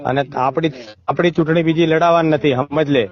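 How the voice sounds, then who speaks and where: Insistent, fast-talking man on a muffled phone call. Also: on the high side, fairly even intonation. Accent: rural eastern Gujarat. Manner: insistent, domineering, talks over the listener. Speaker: political party member. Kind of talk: informal phone conversation, persuading. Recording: muffled, band-limited phone call recording.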